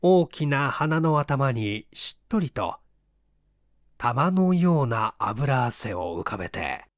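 A man narrating a literary mystery tale in a measured, dramatic storytelling manner with deliberate pauses. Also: medium pitch, big pitch swings, dry recording, clean studio sound. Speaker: audiobook reader, stage actor.

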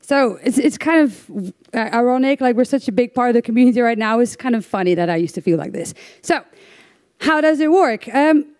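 A woman's voice: on the high side, lively intonation, a little fast, a little mellow. A young woman from the Netherlands giving a conference talk on stage, chatty and self-deprecating with lots of fillers and restarts. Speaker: conference speaker.

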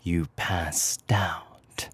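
A man's voice: deep voice, fairly even intonation, a bit bright, exaggerated voice. Man playing a whispering, ominously courteous male demon. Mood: calm, ominous. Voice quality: breathy whisper. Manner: slow, controlled, polite. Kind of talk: ASMR character roleplay.

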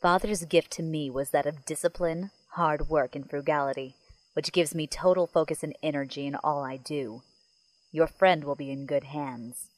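A woman's voice: low-pitched, normal speed, no echo, rough recording. A woman playing a disciplined, deadly young female warrior in an audio drama, formal, solemn and controlled. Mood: solemn, proud.

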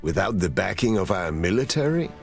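A man playing a stern, cautious middle-aged father in a video game, gravelly and husky, grave and commanding. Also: sits low, very animated, a little fast, faint music behind, heavily acted. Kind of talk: cinematic video game acting.

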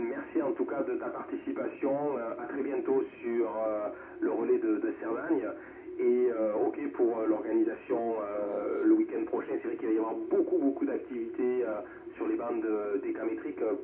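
Man hosting over a noisy, tinny radio link. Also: fairly low pitch. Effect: heard over amateur radio. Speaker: amateur radio net host. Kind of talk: ham radio round-table moderation. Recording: noisy, echoing, narrow-band radio reception.

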